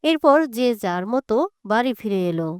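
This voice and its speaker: High, clear-voiced woman narrator. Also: lively intonation, moderate pace, dry recording, clean studio sound. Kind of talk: scripted storytelling narration.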